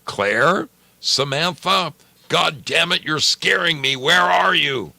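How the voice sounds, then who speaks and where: A man's voice: on the high side, lively intonation, takes it slowly, vocal fry, bright, forceful tone, dry recording, pitched above the performer's own voice, heavily acted. A man voicing a menacing creature that imitates a worried father with insistent, pleading calls, in a ghost story reading. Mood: agitated, pleading.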